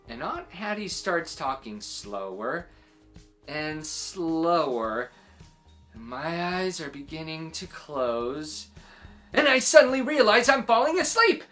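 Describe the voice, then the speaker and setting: A woman reading a children's story aloud in expressive, acted-out narration. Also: sits low.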